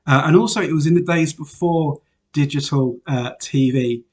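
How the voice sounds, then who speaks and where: Chatty Welsh-born priest. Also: male voice, fairly high pitch, moderate pace. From Wales, raised in Northamptonshire, England. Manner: relaxed and chatty, many "uh" fillers, light laughs. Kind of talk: informal self-introduction to parishioners.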